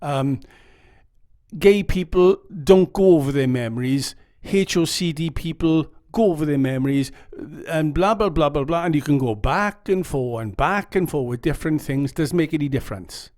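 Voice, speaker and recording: A man's voice: fairly high pitch, animated, normal speed, darkish tone. A man with a Welsh accent giving earnest, rambling advice straight to his viewers. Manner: rambling, earnest, reads quotes dramatically. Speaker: YouTube channel host. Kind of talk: casual advice monologue to camera.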